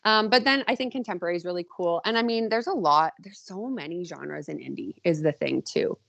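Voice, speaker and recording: A woman's voice: fairly low pitch, a little fast, gravelly, a bit bright, lo-fi sound. A woman author being interviewed as a podcast guest, chatty and informal, often voicing her own thoughts as little quotes. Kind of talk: casual conversation.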